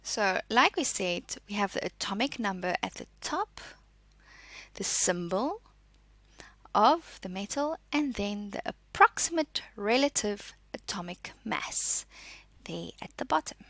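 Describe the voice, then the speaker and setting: A woman's voice: medium pitch, very animated, unhurried, a bit bright, dry recording. A woman teacher giving an instructional school lesson, reading notes and explaining exercises, posing questions and answering them. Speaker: science teacher.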